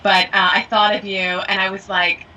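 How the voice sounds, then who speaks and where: A woman voiceover actor and acting coach co-hosting a casual podcast. Her voice is bright and forceful, and she laughs easily while she chats. The recording is a little distant, with faint background noise. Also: mid-range voice, flat delivery, moderate pace, muffled recording. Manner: laughs often, bubbly and chatty. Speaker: podcast co-host. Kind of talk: casual chat.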